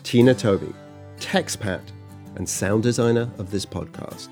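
Man, podcast host, mellow dark tone, measured narration, faint music underneath. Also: lowish voice. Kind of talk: scripted narration and chat.

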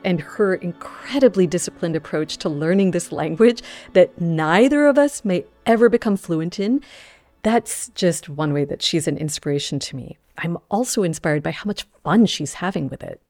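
A woman's voice: fairly low pitch, expressive, normal speed, a little mellow, faint music behind. A woman reporter telling a story in a conversational podcast style.

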